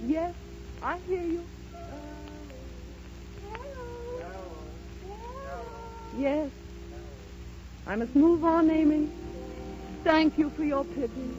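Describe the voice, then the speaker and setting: A serenely proud blind dreamer in a 1930s radio play. Her voice is very high and theatrical, with emotional, deliberate pauses. The old recording is dull, with music clearly behind her. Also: fairly even intonation. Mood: tender, serene. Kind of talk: 1930s radio dramatic acting.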